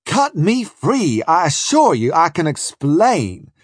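A terrified gentleman, performed by a male narrator, pleads in polite, well-spoken phrases. His voice is high and urgent, husky at the edges, in the style of an adventure audiobook. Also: wildly swooping pitch, slow, no room sound, studio quality, full character voice. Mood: desperate pleading.

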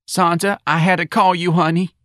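Man as a high, fretful New mother. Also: level tone, moderate pace, sharp tone, dry recording, studio quality. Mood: worried. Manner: whining, aggrieved. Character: Irene Riley, plaintive, fretful aging Southern mother. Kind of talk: audiobook character dialogue.

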